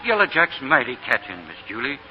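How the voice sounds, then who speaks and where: A man's voice: mid-range voice, big pitch swings, a little fast, natural voice. An actor playing a folksy, fatherly older doctor in a 1940s radio drama. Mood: grave. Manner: folksy, plainspoken. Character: folksy, fatherly older Southern doctor, resigned but dutiful. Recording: noisy, muffled 1940 radio broadcast.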